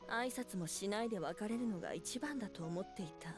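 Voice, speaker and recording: Woman voicing an aloof fox spirit lady from a visual novel, composed and measured, light music behind. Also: medium pitch, lightly acted. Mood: resigned, solemn. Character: cold, distant female fox spirit, resigned and composed. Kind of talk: Japanese visual novel voice acting. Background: background music.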